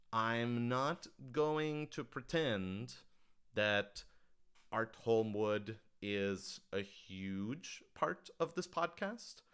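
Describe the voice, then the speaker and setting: A man's voice: fairly low pitch, fairly bright tone, clean tone. A man talking casually on a livestream, answering audience questions in an enthusiastic, explanatory, conversational way.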